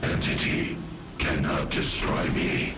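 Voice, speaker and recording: An actor playing a menacing ancient machine intelligence in a video game, commanding in a slow, flat way. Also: male voice, background noise, close to an everyday voice. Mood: cold menace.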